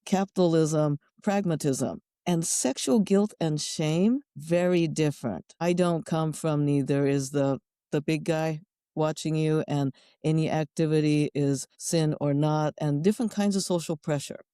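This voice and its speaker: Middle-aged Japanese-accented woman guest; warm low-key tone, wry, measured delivery. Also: steady tone, smooth, no echo, studio quality. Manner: thoughtful, wry, list-like phrasing. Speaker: guest sexologist and educator. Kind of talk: conversational interview answers.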